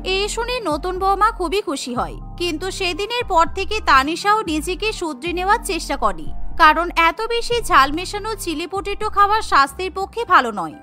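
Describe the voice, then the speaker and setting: A woman's voice: extremely high pitch, animated, moderate pace, pushed and bright, soft background music. A woman narrating a scripted cartoon story as storyteller.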